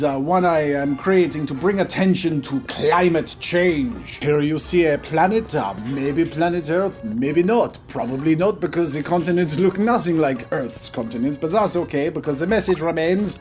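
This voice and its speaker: High-pitched man, mock French accent, theatrical pompous painter persona, lively swooping delivery, game commentary, music behind. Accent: put-on French. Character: earnest, self-important French painter, male. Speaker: video game streamer. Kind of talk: playful in-character gameplay commentary.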